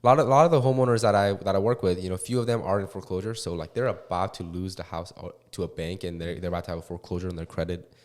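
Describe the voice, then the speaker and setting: A man in his twenties, a real estate investor, talking as a guest in a casual interview. He talks quickly and haltingly, with many restarts and fillers. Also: low-pitched, steady tone, a little mellow. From Korea and California. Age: 20s.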